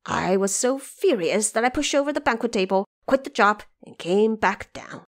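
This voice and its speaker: A woman's voice: mid-range voice, lively intonation, normal speed, close to an everyday voice. A woman voicing a proud, hot-tempered, boastful male monkey king in an audio drama. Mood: indignant. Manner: boastful, commanding, indignant. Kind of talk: audio drama character acting.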